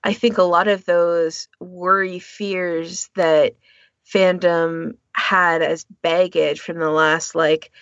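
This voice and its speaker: A woman with a fairly low voice co-hosts a casual discussion podcast. She talks things through in an explanatory, discursive way. The sound is very dry and a bit dull and lo-fi. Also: flat delivery, slow, fairly bright tone, no echo. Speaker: podcast co-host.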